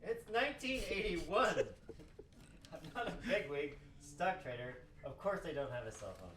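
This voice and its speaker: A man's voice: fairly high pitch, big pitch swings, measured pace, a bit bright. A man playing a sardonic, exasperated, skeptical scientist in an amateur radio play table read. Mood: exasperated, resolute. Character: exasperated, skeptical, foul-mouthed male robotics scientist. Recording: roomy, distant mic with clear noise.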